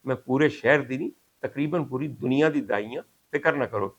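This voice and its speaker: A man's voice: mid-range voice, normal speed. A middle-aged or older man with a Pakistani accent, telling stories to viewers in a courtly, rhetorical, dramatic way as a host. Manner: rhetorical, courtly and dramatic phrasing, switches into English and Punjabi. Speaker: host, doctor. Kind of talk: storytelling monologue to viewers.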